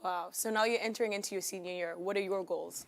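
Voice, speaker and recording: Young female interview host, quick pace, eager reactions. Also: medium pitch, steady tone. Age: young adult. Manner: enthusiastic reactions. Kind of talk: scripted interview.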